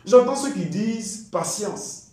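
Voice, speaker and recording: A man delivering a fiery political speech in a forceful, declamatory way with emphatic repetition. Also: sits high, expressive, slow. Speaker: political activist. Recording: very echoey, reverberant sound.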